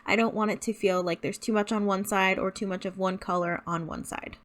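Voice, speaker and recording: A woman's voice: medium pitch, fairly even intonation, fairly quick, fairly bright tone. A woman giving a casual, chatty voice-over as a home decor vlogger, talking directly and informally to her viewers.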